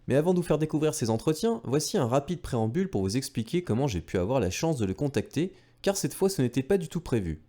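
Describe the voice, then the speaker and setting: A man's voice: mid-range voice, sing-song, fast, few pauses, warm tone. A man narrating a retro gaming video from a script, enthusiastic and speaking directly to viewers with casual asides. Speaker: video creator and host.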